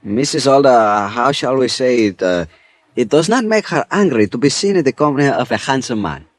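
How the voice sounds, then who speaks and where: A sly, genial Venezuelan police chief in a classic radio detective drama, played by a male actor. He has a Spanish accent and draws out his teasing remarks, with very animated intonation. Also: mid-range voice, a little fast, lightly acted. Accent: Spanish-accented English. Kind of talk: old-time radio detective drama acting.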